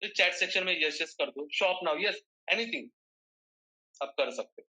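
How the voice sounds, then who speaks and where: A man's voice: sits high, moderate pace, sharp tone. A man with an Indian accent teaching a live online class as a trainer, repeating phrases and often checking that his listeners follow. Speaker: online digital marketing trainer. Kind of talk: live online lesson, mixing Hindi and English. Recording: roomy, echoing sound.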